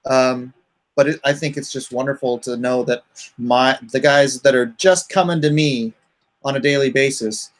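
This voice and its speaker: A man's voice: mid-range voice, fairly even intonation, moderate pace. A middle-aged man with a nasal voice, chatting casually as the host of a livestream. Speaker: host, comic artist.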